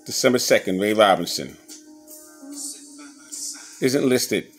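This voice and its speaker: A man giving a casual show-and-tell commentary as an enthusiastic collector, halting a little as he reads old clippings aloud. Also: lowish voice, lively intonation, slightly rough, faint music behind. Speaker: boxing memorabilia collector showing his scrapbooks on video.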